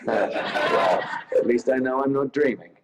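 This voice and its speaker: A man's voice: medium pitch, takes it slowly, noisy background. A man delivering a prepared, recorded acceptance speech as a podcast author.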